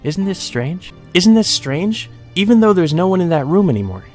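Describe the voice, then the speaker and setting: A man's voice: high-pitched, big pitch swings, normal speed, pitched above the performer's own voice. A man voicing an emotionless, doll-like young maid from a visual novel, detached and wistful. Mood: wistful, puzzled. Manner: detached, wistful, softly confessing. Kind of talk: visual novel character reading. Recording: music under the voice.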